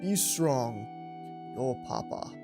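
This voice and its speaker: A woman's voice: extremely low pitch, animated, slow, music in the background, pitched below the performer's own voice, exaggerated voice. A woman voicing a loving, weary, lonely father in a visual novel, reading his letter tenderly. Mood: tender, wistful.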